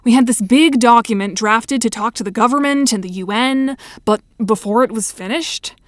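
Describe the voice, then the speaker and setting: A woman's voice: fairly high pitch, normal speed, no echo, lightly acted. A performer voicing a blunt, cynical young woman who used to be a researcher, in a read-aloud story. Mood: explaining. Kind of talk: audiobook character reading.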